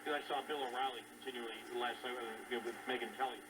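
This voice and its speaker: A man's voice: medium pitch, steady tone, racing. A man giving emphatic, repetitive opinion talk in a conversational style, heard over shortwave radio. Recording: noisy, distant shortwave radio reception. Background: clear static noise.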